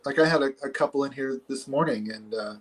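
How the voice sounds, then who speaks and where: A man's voice: medium pitch, fast talker, breathy edge. A man in his forties, a counselor, explaining ideas in a casual conversation with a reflective, hesitant manner. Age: 40s.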